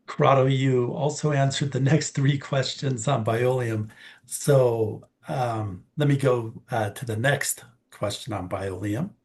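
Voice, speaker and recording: Creaky-voiced man moderating questions. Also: mid-range voice, unhurried. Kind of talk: reading out investor questions.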